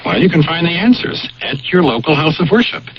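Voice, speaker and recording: A man playing a teasing, animated examiner. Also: on the high side, a little fast, lo-fi sound, crowd noise, lightly acted. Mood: knowing, reassuring. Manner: theatrical, knowing, mock-official. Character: teasing, officious male examiner giving a life test. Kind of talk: comic radio public service announcement.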